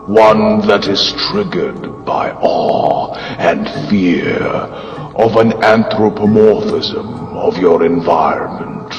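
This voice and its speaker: Very slow, deep, cavernous fungal god. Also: male voice, muffled recording. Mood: scornful. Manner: slow, solemn, deliberate pronouncements. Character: vast fungal god creature, contemptuous of humanity. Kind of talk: dramatic comic narration. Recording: cavernous reverb, distant, faint music behind.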